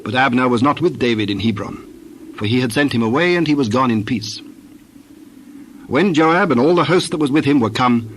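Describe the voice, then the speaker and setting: A man reads scripture as narrator. His medium-pitched voice is solemn and measured, placing each phrase separately. Noticeable noise sits behind the reading. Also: expressive, fairly bright tone.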